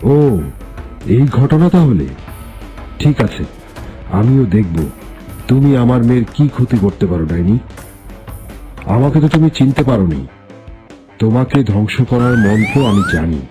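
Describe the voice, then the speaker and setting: An actor playing a stern, protective older sorcerer in a cartoon, speaking in a firm, threatening, commanding way. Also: male voice, fairly low pitch, dark tone.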